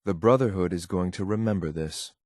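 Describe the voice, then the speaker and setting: A cold, dutiful male synth agent from a video game in a low-pitched voice. The delivery is clipped, formal and deadpan, measured throughout. The recording is very dry and close. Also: no echo. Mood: stern, detached. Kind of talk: scripted video game companion lines.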